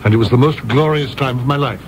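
A man's voice: mid-range voice, fast, lightly acted. A man as a prim, reproachful theatre producer, faintly noisy. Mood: earnest, aggrieved. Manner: formal, reproachful. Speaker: actor in a radio play. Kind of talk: radio play dialogue.

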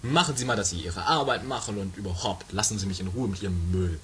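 A woman's voice: extremely low pitch, fast, a little hiss or hum. A woman voicing a muddled, grumpy, bumbling male prison warden in an improvised amateur comedy radio play. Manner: bumbling, hesitant, repetitive.

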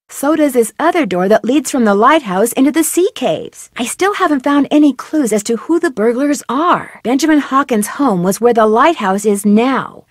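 A woman's voice: a little high, dry recording, studio quality. An actress voicing a young female amateur detective in a video game, inquisitive and chatty as she asks for hints and talks through clues. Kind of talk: studio video game voice acting.

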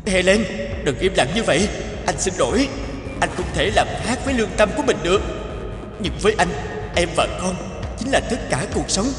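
An earnest young husband in a cartoon drama, with a slightly high voice full of urgent, pleading feeling. Music plays clearly behind him. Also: male voice, expressive, a little fast, pauses often. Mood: panicked, pleading. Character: earnest young husband and father, anxious and devoted. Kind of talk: dubbed cartoon drama acting.

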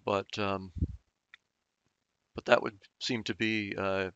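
A man's voice: fairly low pitch, little pitch movement, slow, fairly bright tone, smooth, dry recording, lo-fi sound. A man explaining engine diagrams in an unscripted voice-over, thoughtful and hesitant with many fillers and restarts. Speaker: channel host explaining engine repair.

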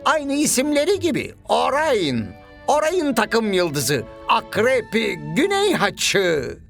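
Nutty old cartoon professor, super high and slow, excitable, music behind. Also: male voice, creaky, heavily acted. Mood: eager explaining. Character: eccentric elderly male professor, brainy, absent-minded football tactician. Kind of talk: animated cartoon dub.

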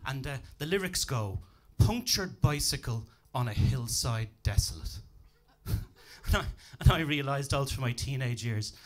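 A man's voice: mid-range voice, measured pace. A young man with a Dublin accent doing a stand-up set on a comedy club stage, talking dry and self-deprecating into a handheld mic. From Ireland. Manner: dry, deadpan delivery with self-deprecating asides.

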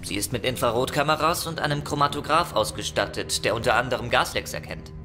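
An actor playing an easygoing ship's computer technician and hacker in a sci-fi audio drama, casual and self-assured. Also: male voice, medium pitch, quick pace, over music, lightly acted. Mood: confident concentration.

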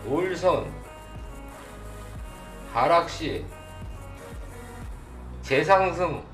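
Male livestream host, middle-aged, blunt and quizzing, very animated pitch, mic at a distance. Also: extremely slow. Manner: blunt, informal, quizzing viewers with repeated either-or questions. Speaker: stock broadcast host. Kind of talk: live stock chart commentary for viewers. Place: indoor desk at a computer, livestream setup. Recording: roomy, distant mic, faint music behind.

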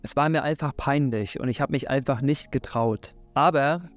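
A man's voice: medium pitch, normal speed, soft background music. A man in his thirties from Hamburg with a Hamburg German accent, giving an earnest, encouraging faith talk straight to camera in a living room. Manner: earnest, encouraging, direct address. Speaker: faith and self-help YouTuber. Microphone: desk microphone in front.

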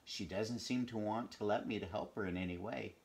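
Man reading as a gentle, pleasant countrywoman, lowish voice. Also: a little fast, close to an everyday voice. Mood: gentle, musing. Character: plumpish pleasant woman dog owner, gentle and apologetic.

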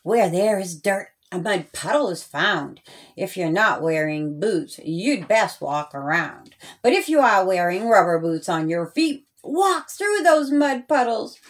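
A woman reading a rhyming children's story aloud in a deliberate, sing-song way, as a storyteller. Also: medium pitch, sing-song.

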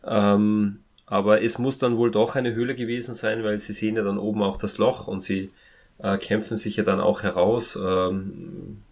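Halting low-voiced man with some creak. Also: flat delivery. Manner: hesitant, halting, searching for words. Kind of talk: casual podcast discussion.

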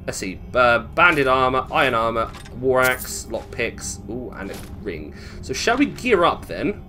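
A man's voice: medium pitch, very animated, measured pace, sharp tone, a little hiss or hum. A man giving casual, chatty gameplay commentary, thinking aloud and questioning himself as he goes. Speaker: gamer narrating a let's play.

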